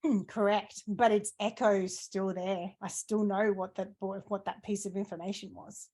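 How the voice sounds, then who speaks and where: A woman's voice: mid-range voice, steady tone, moderate pace. A woman asking questions in a casual conversation, speaking in a heartfelt, thoughtful way. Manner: warm, emotional, thoughtful. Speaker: interviewer. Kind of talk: casual chat.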